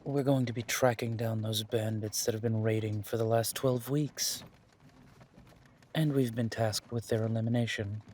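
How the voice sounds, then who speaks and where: A man playing a weary, guarded werewolf duke in a fantasy audio drama, speaking in a measured, dry way with a husky voice. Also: fairly low pitch, fairly even intonation, darkish tone, lightly acted. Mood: grave.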